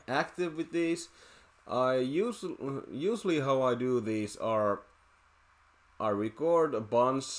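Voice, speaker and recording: Slow, hesitant Finnish-accented man. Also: medium pitch. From Finland. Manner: hesitant, many restarts and filler words. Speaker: let's play host. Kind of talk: casual commentary while playing a video game. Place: at home playing on a TV. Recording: game music playing underneath. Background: video game music.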